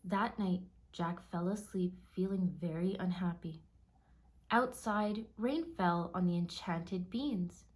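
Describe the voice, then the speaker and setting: A woman narrating a children's storybook aloud in a measured, expressive storytelling manner. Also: on the low side.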